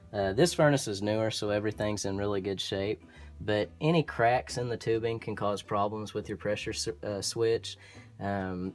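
A man with an Oklahoma accent, an HVAC technician explaining furnace repair in a relaxed, practical way, with frequent ums and restarts. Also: fairly low pitch. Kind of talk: instructional how-to explanation. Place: indoor utility closet by a furnace.

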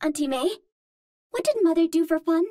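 A woman's voice: very high-pitched, expressive, normal speed, dark tone, no room sound, studio quality. An actress playing a cute, naive young Martian space healer, speaking in a sweet, girlish, precise and formal way. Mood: curious, earnest.

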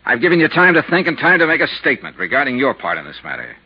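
An actor playing a tough, persistent insurance investigator in a 1950s radio drama, with a blunt, pressing, hard-boiled delivery. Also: male voice, medium pitch, very animated, fast talker, husky. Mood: stern, accusing. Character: tough, persistent male insurance investigator narrating his case. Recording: muffled vintage 1950s radio recording.

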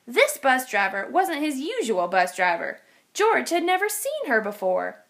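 A woman with a high voice reads a children's picture book aloud. Her delivery is expressive and very lively, rising and falling as she tells the story. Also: measured pace, creaky. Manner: expressive, animated reading. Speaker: storybook reader.

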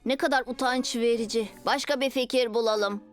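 A woman's voice: a little high, steady tone, normal speed, faint music behind, natural voice. A woman playing a proud, self-conscious young superhero boy in a dubbed children's cartoon, earnest and a little defensive. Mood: reluctant, embarrassed. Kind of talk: animated children's cartoon dubbing.

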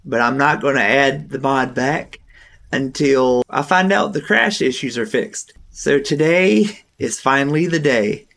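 A man talks through his survival game session in a loose, rambling way. His voice is hoarse and gravelly from a recent bout of strep throat, sitting at a middling pitch. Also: measured pace, fairly bright tone. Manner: chatty, rambling, laughs now and then. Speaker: gaming channel host. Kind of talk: casual gameplay commentary.

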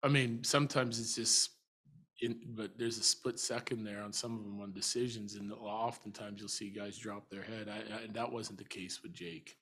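A middle-aged man answering as a head coach at a postgame press conference, measured and thinking aloud with frequent restarts. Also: fairly low pitch, flat delivery, a bit bright, slightly rough. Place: arena press room. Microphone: table mic.

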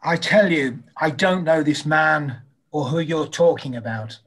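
Man as defensive denying disciple, fairly high male pitch, scripture drama. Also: animated, measured pace, a bit bright, heavily acted. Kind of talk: amateur dramatized scripture reading.

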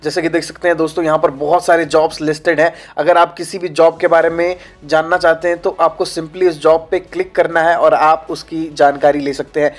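A man's voice: on the high side, little pitch movement, brisk. A young man hosting a how-to video, walking viewers through a website in a friendly, chatty way and often calling them friends. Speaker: YouTube channel host. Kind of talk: informal tutorial walkthrough. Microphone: lapel mic. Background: faint background music.

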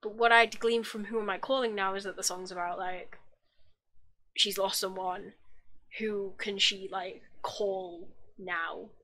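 Young woman, bright voice, chatty, self-correcting, small home room, desk mic. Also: medium pitch, steady tone, a little slow. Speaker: YouTube reaction host. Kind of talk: casual reaction commentary. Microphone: desk microphone, wearing headphones.

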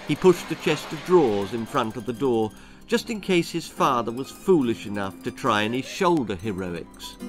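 An older man reading a children's story aloud in a theatrical, dramatic way. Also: medium pitch. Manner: animated, theatrical delivery. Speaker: author reading his own story. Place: small book-lined room indoors.

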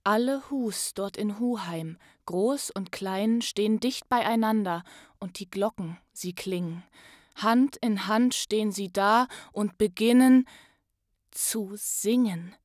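Casting: female storyteller, dramatic and unhurried, bright tone, dry close sound. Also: mid-range voice, no echo. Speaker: actress and voice artist, guest reader. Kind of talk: expressive storybook narration.

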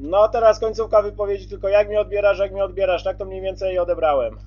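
Mężczyzna o wysokim głosie, krótkofalarska pogawędka. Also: male voice, high voice, fairly even intonation, fast talker, muffled recording, faint music behind. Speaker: CB radio operator. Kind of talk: casual radio contact talk.

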